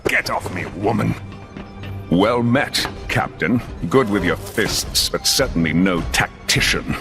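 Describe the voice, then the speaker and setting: A man's voice: lowish voice, animated, slow. An actor playing a scheming male warlord villain in a video game cutscene, with a grandiose, gloating delivery. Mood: mocking. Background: game music.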